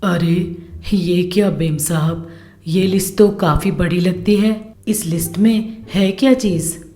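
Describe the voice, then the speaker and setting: A woman's voice: on the low side, slow, echoey room, over music. A woman voicing a puzzled, obedient male household servant in a storybook cartoon, deferential and questioning. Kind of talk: animated storybook voice acting.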